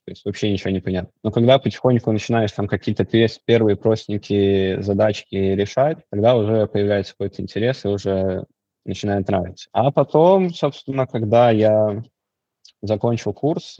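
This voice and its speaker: A man's voice: fairly low pitch, dark tone, no room sound, highs cut off. A man in his twenties from Belarus, giving casual conversational answers as an interview guest. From Vitebsk region, Belarus. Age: 20s. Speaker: interview guest, Android developer.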